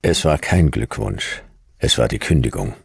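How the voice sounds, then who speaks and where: A man playing a world-weary, sardonic fifty-year-old private detective, narrating a noir radio drama in a gravelly, laconic voice. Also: very deep voice, animated, normal speed, background noise, heavily acted. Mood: grumbling, resigned. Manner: laconic, dry, clipped.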